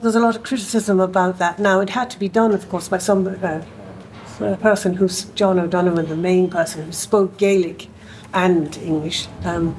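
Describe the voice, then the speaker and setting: A woman, speaking on a panel in a thoughtful way, with many pauses and fillers. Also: on the low side, fairly even intonation, a little mellow, slight background noise, low-quality recording. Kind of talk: panel discussion.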